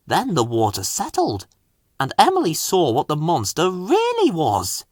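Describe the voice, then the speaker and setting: A man reading a children's story aloud with dramatic, deliberate expression. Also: fairly high pitch, fairly bright tone, no echo, clean studio sound. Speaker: storybook reader.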